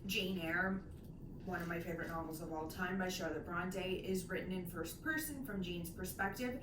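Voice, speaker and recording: A woman's voice: lowish voice, moderate pace. A woman talking casually about books, enthusiastic and emphatic, often wandering off into side remarks. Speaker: co-host of a book discussion channel. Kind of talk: casual chatty book discussion. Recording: echoey room, distant mic, clear background noise.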